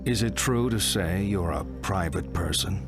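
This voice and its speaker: An actor playing a middle-aged psychotherapist, deliberate, probing and slightly theatrical, in a video game monologue. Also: male voice, deep voice, gravelly. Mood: coaxing, probing. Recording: loud music under the voice.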